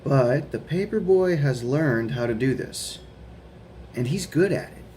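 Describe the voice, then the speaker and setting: A man reading a picture book to children at an unhurried, deliberate pace, his voice sitting at an ordinary middle pitch. He pauses now and then to chat and ask his young listeners questions. A faint hiss of background noise runs under the recording. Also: expressive. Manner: slow, gentle storybook reading with questions to kids. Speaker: guest reader for children.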